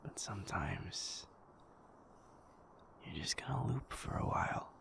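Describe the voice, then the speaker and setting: A man playing a gentle, patient werewolf in an intimate audio roleplay, soothing and reassuring in a halting, breathy, creaky whisper. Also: fairly low pitch, expressive, full character voice. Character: gentle, patient male werewolf comforting a sleepless partner.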